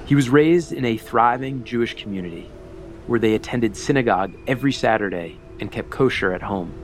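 A man narrating a historical documentary in a measured, solemn, scripted way with dramatic emphasis. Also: mid-range voice, a little hiss or hum.

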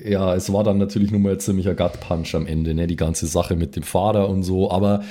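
Low warm-voiced guy co-hosting, casually breaking down a story with friends. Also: steady tone, brisk. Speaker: podcast co-host discussing the story. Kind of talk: casual analytical discussion.

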